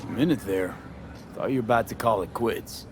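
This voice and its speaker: A man's voice: on the low side, a little fast, noisy background, natural voice. An actor playing a tough, pragmatic male street mercenary in a video game, speaking in a terse, matter-of-fact way. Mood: dry, teasing. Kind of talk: naturalistic video game dialogue.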